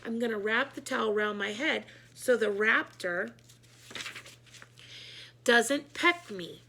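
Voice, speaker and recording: A woman reading a storybook, playing a confident, commanding, excitable male school principal. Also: a little high, slow, creaky, a little hiss or hum, full character voice. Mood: scheming.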